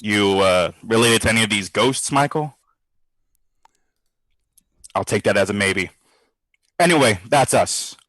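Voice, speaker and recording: An actor playing a brash, sardonic skeptic who talks in slangy, theatrical quips in an audio drama diary. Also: male voice, lowish voice, a little slow, pauses often, fairly bright tone, no echo. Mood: cocky, prodding. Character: brash wisecracking skeptical man, boozy ghost-study participant.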